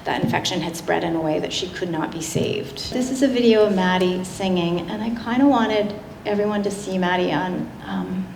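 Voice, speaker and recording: A woman giving a public slide presentation in a conversational, halting way with frequent asides. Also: fairly low pitch, vocal fry, a little mellow. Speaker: guest speaker giving a public talk. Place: indoor public talk venue. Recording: roomy, echoing hall with noticeable noise.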